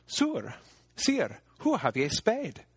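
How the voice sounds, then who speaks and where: A man's voice: on the high side, wildly swooping pitch, slow pace, husky, dry recording, pitched above the performer's own voice, full character voice. A man voicing a loyal young knight, speaking archaic verse earnestly and emphatically.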